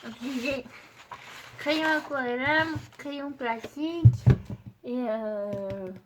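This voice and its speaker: A woman's voice: high voice, takes it slowly, heavy background noise. A woman with a stuffy voice from a cold, chatting casually to her viewers as she shows craft supplies and tests paper, with many fillers. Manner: chatty, addresses viewer directly, frequent fillers. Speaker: art channel host.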